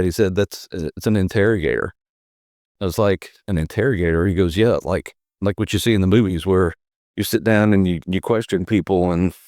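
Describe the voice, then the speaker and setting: A man in his fifties from the United States, a podcast guest, telling his life story in an unhurried way, with fillers and soft chuckles. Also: low voice, no room sound, clean studio sound. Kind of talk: storytelling in an interview.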